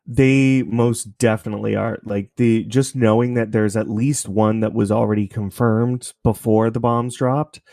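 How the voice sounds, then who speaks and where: A man from Canada with a fairly low voice chats as an interview guest. His delivery is casual and conversational, recorded dry with little room sound. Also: fairly even intonation, measured pace, vocal fry, hardly any pauses, warm tone, dry recording. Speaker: podcast creator, interview guest.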